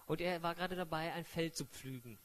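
A man's voice: on the high side, brisk, a crowd in the background. A man interpreting a sermon live, paraphrasing fluently with frequent fillers and self-corrections.

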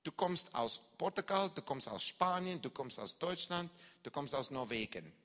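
A man preaching and telling a story from his own life, acting out shouts and reactions, speaking German with non-native grammar. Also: on the high side, moderate pace, big-room sound. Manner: animated, acts out shouts and reactions. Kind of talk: sermon testimony, storytelling.

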